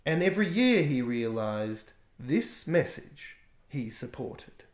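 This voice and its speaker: A man reading aloud his own rhyming picture book in a gentle, expressive way. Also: medium pitch, takes it slowly. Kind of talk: rhyming storybook narration. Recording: echoey room.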